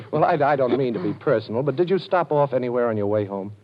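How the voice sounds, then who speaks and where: A man playing a calm, dryly amused detective with an ex-con past in a vintage radio drama. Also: medium pitch, fast, a little hiss or hum, close to an everyday voice. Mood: cool. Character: cool wry ex-con detective, male adult, posing. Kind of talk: 1940s radio acting. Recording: dull old radio broadcast.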